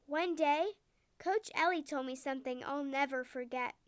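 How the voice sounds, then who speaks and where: A woman's voice: sits high, normal speed, no echo, lightly acted. A woman voicing a cheerful, reassuring eel who swims, with a kindly, confiding manner, in a bedtime story.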